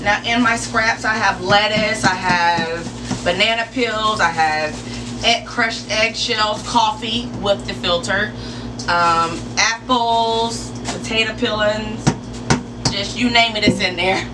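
A woman hosting an informal step-by-step how-to demonstration in a deliberate, instructional way, picked up by a camera a few metres away. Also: medium pitch. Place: enclosed porch or sunroom. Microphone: camera mic a few metres away. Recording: distant mic, clearly noisy with background hum.

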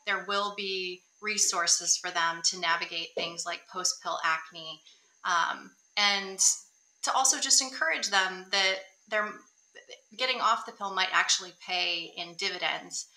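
Bright-voiced young woman in an echoey room. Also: on the low side, a little slow. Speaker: co-host. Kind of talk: webcast introduction. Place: small room indoors. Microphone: webcam on video call.

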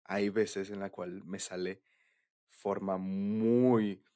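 A man's voice: deep voice, fairly even intonation, extremely slow, mellow. A young man with a Mexican accent in a casual, confiding boyfriend ASMR roleplay, bashful and hesitant.

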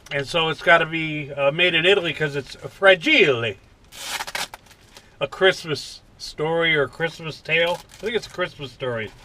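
An American man in his fifties talking in a folksy, unhurried way in a casual vlog from a truck cab. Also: fairly high pitch, bright, forceful tone, a little hiss or hum. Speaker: vlogger. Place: inside a parked truck cab.

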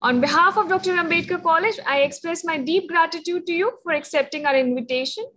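A young woman with a high, clear voice reads a formal introduction for a webinar guest. She works steadily through a long list of credentials, speaking into a webcam from an office. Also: quick pace, fairly bright tone. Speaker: webinar moderator. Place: college office, indoors. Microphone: video call webcam.

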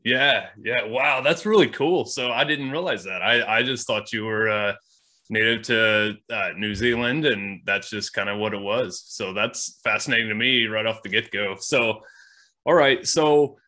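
A middle-aged man hosts a video-call interview in an upbeat, casual way, often pausing on uhs. His voice sits a little low but has a bright, forceful tone. He speaks through a webcam microphone. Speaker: podcast host. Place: indoor workshop with wood stacks.

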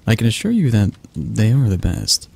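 A man playing a possessive, doting mafia boss in an ASMR roleplay, speaking in a husky, halting, intimate and condescending way. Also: fairly low pitch, wildly swooping pitch, a little mellow, exaggerated voice. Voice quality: husky, breathy. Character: possessive young male mafia boss, doting yet controlling.

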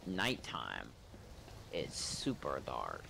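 A man's voice: very deep voice, wildly swooping pitch, extremely slow. A man giving casual, sardonic gameplay commentary, muttering and swearing as he plays. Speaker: gamer playing a role-play game. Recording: clear background noise, game ambience.

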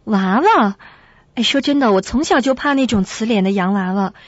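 A woman playing a gentle, anxious young secretary in a radio drama, speaking in a hesitant, subdued way. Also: a little high, moderate pace, dry recording, muffled recording, close to an everyday voice. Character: gentle, anxious young office secretary, resigned and self-effacing.

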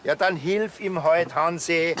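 A dry, bossy Bavarian village policeman in a TV crime comedy, grumbling sarcastically in a slightly high male voice. Clear location noise surrounds him. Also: measured pace, a bit bright. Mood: sarcastic, impatient. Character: grumpy, sarcastic small-town Bavarian policeman. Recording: noisy location sound.

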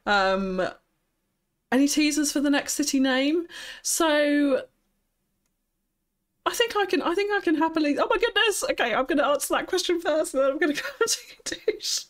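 A young woman with a high-pitched voice chatting on a live stream, bubbly and giggly, often thanking viewers. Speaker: streamer building a city. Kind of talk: casual live-stream chat.

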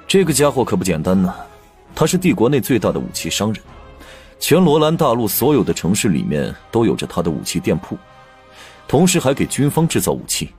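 A man's voice: fairly low pitch, very animated, brisk, darkish tone, faint music behind, voice pushed up. A man voicing a gossipy, knowing young prince in an audiobook, chatty and emphatic. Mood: amused, impressed. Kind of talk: audiobook character dialogue.

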